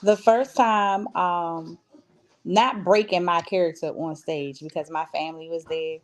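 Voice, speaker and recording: A woman's voice: on the low side, steady tone, unhurried. A woman giving casual, conversational interview answers as a stage actress, telling stories by acting out what people said.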